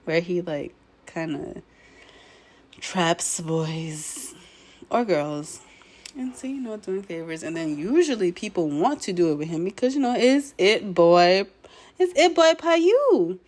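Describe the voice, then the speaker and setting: A young woman, hoarse and a bit nasal while recovering from illness, casually recapping a show episode in a laid-back way, clearing her throat now and then. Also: on the low side, very animated, takes it slowly, a little mellow. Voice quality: slightly nasal. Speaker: show commentator.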